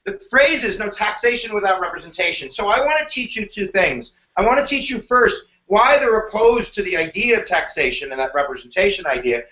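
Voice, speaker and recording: A middle-aged man teaches history live, his high voice bright and playful. He slips into slang and jokes as he goes. The sound is dull and boxy, with the echo of a bare room. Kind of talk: energetic live-stream lesson.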